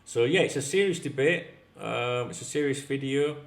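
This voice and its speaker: A man's voice: mid-range voice, moderate pace, gravelly. A middle-aged man from North Yorkshire with a Northern English accent, talking casually and bluntly to camera as a YouTube host, swearing freely. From North Yorkshire, England. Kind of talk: casual opinionated talk to camera. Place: large tiled-floor room at home, indoors. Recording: echoey room.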